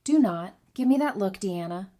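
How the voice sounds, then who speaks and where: A woman's voice: medium pitch, animated, moderate pace, dark tone, lightly acted. An actress playing a candid, dry-witted woman adviser in an audio drama, talking in a frank, conversational way. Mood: defensive, annoyed. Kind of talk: fan audio drama acting.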